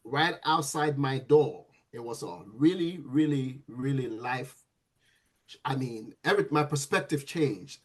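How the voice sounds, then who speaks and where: A man, a nurse guesting on a podcast, telling his story in a lively way with frequent restarts. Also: mid-range voice, a little slow. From Sierra Leone. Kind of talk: casual storytelling interview.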